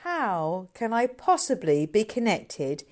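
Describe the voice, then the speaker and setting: A woman's voice: mid-range voice, big pitch swings, slow, voice pushed up. A woman voicing a puzzled, protesting girl caught up in a storybook ghost mystery. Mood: bewildered protest. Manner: bewildered, questioning.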